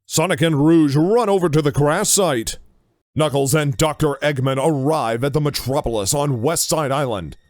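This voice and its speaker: A man's voice: a little high, normal speed, no room sound, studio quality. A man reading scripted narration for an audio drama.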